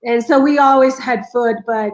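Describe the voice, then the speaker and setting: High-voiced Romanian woman telling her story. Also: steady tone, echoey room. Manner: earnest, halting, many restarts. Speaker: guest. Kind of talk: personal storytelling.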